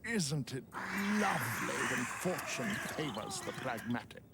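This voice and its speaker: Sly, theatrical old necromancer, swooping. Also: male voice, medium pitch, very animated, measured pace. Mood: sly amusement. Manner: theatrical, insinuating, mock-polite. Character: sly old necromancer, smooth-talking, mockingly gleeful schemer. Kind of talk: video game cutscene acting. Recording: music behind the voice.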